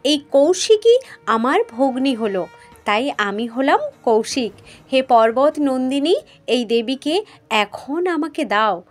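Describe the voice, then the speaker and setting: A woman's voice: high-pitched, very animated, slow pace, faint music behind. A woman narrating a scripted mythological tale as a storyteller.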